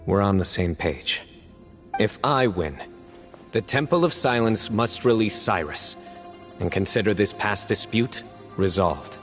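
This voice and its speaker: An actor playing a stern, resolute warrior judge in a video game, speaking bluntly and deliberately. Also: male voice, on the low side, animated, faint music behind.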